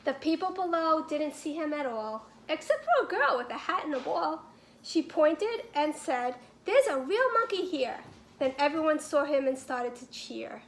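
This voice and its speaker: A woman reading a children's picture book aloud in an animated, emphatic storytelling manner with deliberate phrasing, like a teacher. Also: sits high, a little hiss or hum.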